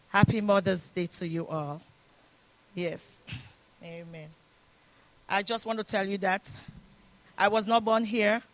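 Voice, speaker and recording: A woman's voice: mid-range voice, fairly bright tone. An older woman with a foreign accent, a grandmother and guest speaker, praying fervently and preaching at a church service. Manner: fervent, repetitive prayer invocations. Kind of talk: prayer and sermon. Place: church sanctuary. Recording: echoey church room with music.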